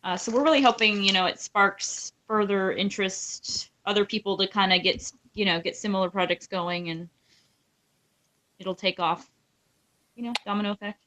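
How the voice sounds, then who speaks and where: A woman's voice: mid-range voice, fairly even intonation, moderate pace, pushed and bright. A woman talking casually as a panelist on a podcast. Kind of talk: casual chat.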